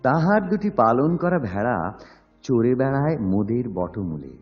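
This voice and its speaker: A man giving an expressive recitation of verse, with music behind him. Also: medium pitch, racing. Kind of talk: expressive Bengali poetry recitation. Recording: dull lo-fi sound with music.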